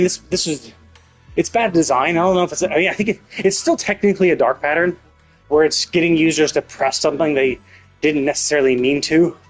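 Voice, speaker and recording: A man's voice: a little high, slightly rough, rough recording. A man casually commentating on a game livestream, rambling and thinking aloud as he goes. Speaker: live streamer.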